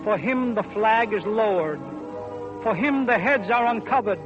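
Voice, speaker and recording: A man's voice: high voice, animated. An American man giving a grave, declamatory patriotic oration in 1930s radio style, on an old broadcast recording. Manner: grave, declamatory, deliberate phrasing. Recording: muffled 1930s radio recording, music behind.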